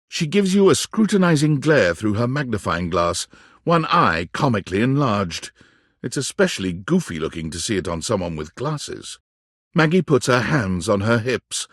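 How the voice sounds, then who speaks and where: A man's voice: mid-range voice, husky, dry recording, clean studio sound. A man reading second-person game narration in a wry, storybook manner. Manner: wry, storybook-style delivery.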